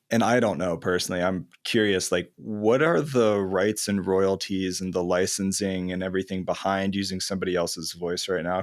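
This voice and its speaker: A man hosting a podcast, asking questions in an easygoing, slangy way. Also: deep voice. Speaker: podcast host. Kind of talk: casual podcast interview.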